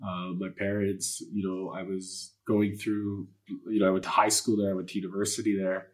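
Low-voiced earnest man. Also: little pitch movement, normal speed. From Canada. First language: Polish. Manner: earnest and spiritual, rambling with many fillers and curse words. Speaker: guest. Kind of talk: candid personal interview talk.

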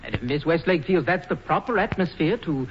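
A man's voice: on the high side, animated, brisk, slightly rough. A man playing an earnest, easily smitten young playwright, slightly flustered, in 1940s radio play style. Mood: eager, flustered. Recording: dull, noisy old radio recording.

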